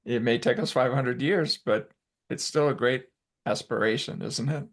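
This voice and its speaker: A man's voice: mid-range voice, normal speed. A male guest speaker giving an earnest, reflective talk over a video call.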